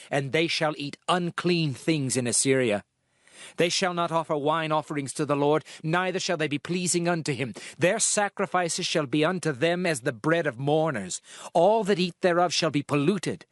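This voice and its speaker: A man's voice: on the high side, dry recording, clean studio sound, natural voice. A man playing a stern prophet who speaks for an angry God, declaiming scripture solemnly in a dramatized reading.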